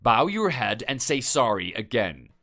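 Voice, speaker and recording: A man's voice: mid-range voice, big pitch swings, unhurried, fairly bright tone, faint music behind. A man playing a stern, threatening husband who lectures and intimidates his neighbor. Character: stern, menacing husband defending his wife.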